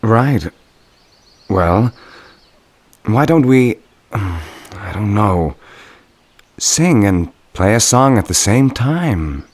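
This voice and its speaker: An actor playing a vain, offended god of music in comic radio drama, lofty, smug and drawling. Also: male voice, lowish voice, sing-song, drawn-out words, pauses often, dry recording, heavily acted. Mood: casual, smug.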